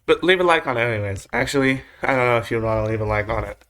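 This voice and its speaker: A man's voice: medium pitch, rapid-fire, fairly bright tone. A man giving casual, rambling reaction commentary as a video host, with slangy phrasing and mild swearing. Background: music or drone from the video being watched.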